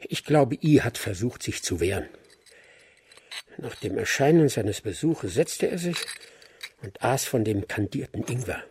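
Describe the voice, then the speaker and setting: An actor playing a magistrate's observant assistant, rough-voiced, precise and matter-of-fact, in a German radio crime drama. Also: male voice, mid-range voice, animated, measured pace, a little mellow, slight background noise. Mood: reasoning. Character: observant, methodical male assistant investigator to a magistrate.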